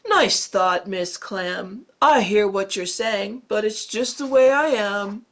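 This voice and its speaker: A woman's voice: mid-range voice, slow. A woman voicing a gloomy male fish who chants his mopey refrain in a sing-song way. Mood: glum, sing-song.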